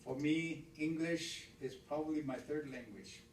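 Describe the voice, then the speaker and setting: Man, first language Stoney, elder teacher, slow halting talk, fairly high voice, echoey and distant. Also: a little hiss or hum. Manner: repeats words, counts aloud, halting restarts. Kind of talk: informal spoken teaching.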